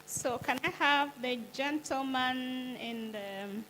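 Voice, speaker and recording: Woman moderator, bright, fairly high voice, brisk directions, faint hum. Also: poor audio. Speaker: session moderator. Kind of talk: moderating a conference Q&A. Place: conference hall.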